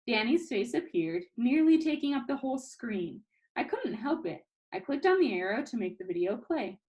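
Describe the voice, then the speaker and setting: A woman reads a children's novel aloud in an expressive first-person narration, now and then stumbling slightly and correcting herself. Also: a little high, moderate pace, low-quality recording.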